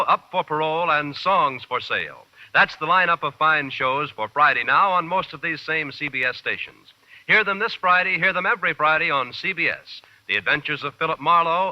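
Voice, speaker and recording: An American man announcing programs in the polished promotional style of mid-century network radio. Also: fairly high pitch. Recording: muffled old radio broadcast recording.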